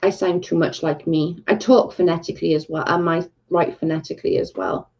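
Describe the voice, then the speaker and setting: Welsh woman, gravelly lowish voice, blunt livestream chat, dull lo-fi sound. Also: fairly even intonation, fairly quick, dark tone. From Wales. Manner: blunt and exasperated, talking to chat. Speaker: livestream host.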